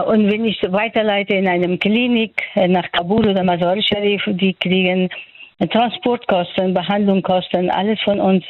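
An older woman with an Afghan accent answers in a radio interview. She speaks at a middling pitch and searches for her words as she goes. The sound is dry and slightly muffled, with little top end. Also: no room sound. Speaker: doctor, interviewee.

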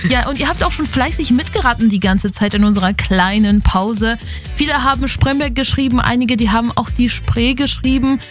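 A woman's voice: on the high side, a little fast, faint music behind. A woman from Poland hosting a live radio quiz in a studio in a chatty style. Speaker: radio host.

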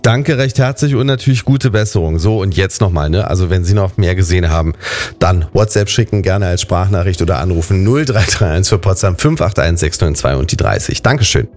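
A man's voice: deep voice, animated, a little fast, a little mellow, soft background music. A man hosting a regional morning radio show, cheerfully reading weather and traffic in a chatty way. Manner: chatty, cheerful, conversational fillers.

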